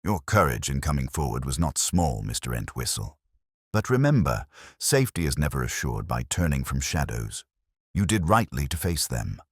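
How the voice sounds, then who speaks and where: A grave, incisive detective from a mystery audiobook, voiced by a man. The voice is extremely low with a husky grain, and it moves expressively through measured, oratorical explanations. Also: big pitch swings, no room sound, clean studio sound, exaggerated voice. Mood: measured, assured.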